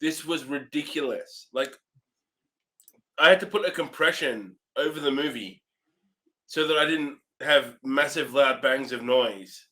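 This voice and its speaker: Blunt man with a bright, forceful voice. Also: medium pitch, measured pace, low-quality recording. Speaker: review show co-host. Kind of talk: casual movie review chat.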